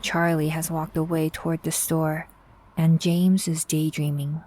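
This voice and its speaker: A woman narrating a scripted audio drama in a measured storytelling manner. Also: low-pitched, level tone, warm tone, slight background noise.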